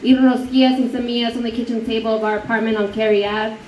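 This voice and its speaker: A woman's voice: fairly high pitch, level tone, fast talker, darkish tone. A woman in her twenties earnestly reciting a personal poem to an audience, mixing in Spanish words. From Honduran-born, raised in the United States. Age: 20s. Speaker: spoken word performer. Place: indoor fundraiser event room. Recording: echoey room, distant dull mic, noisy.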